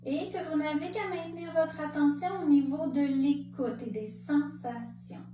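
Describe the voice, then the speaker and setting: Slow-speaking woman guiding relaxation, roomy sound. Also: high-pitched. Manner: calm, measured, instructive, with pauses between short phrases. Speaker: yoga nidra instructor. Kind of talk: guided relaxation meditation. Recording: roomy, distant mic, faint background noise.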